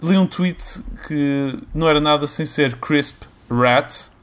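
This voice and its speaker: A man's voice: fairly high pitch, slow pace, fairly bright tone. A young man with a European Portuguese accent, chatting casually as a podcast co-host, rambling and joking with frequent restarts. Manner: rambling, self-interrupting, jokey. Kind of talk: casual chat.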